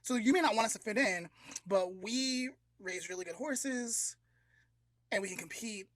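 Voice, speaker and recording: A man's voice: sits high, moderate pace, a bit bright, dry recording. A man with an American accent co-hosting a podcast, casually telling a story in a conversational, approximate way. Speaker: podcast co-host.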